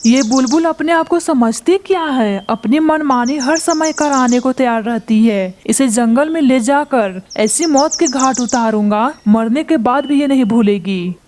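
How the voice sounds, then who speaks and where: A woman's voice: high voice, measured pace, few pauses, a little mellow, slight background noise. A woman voicing a scheming male crow. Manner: gruff, dismissive, plotting. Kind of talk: Hindi cartoon voice acting.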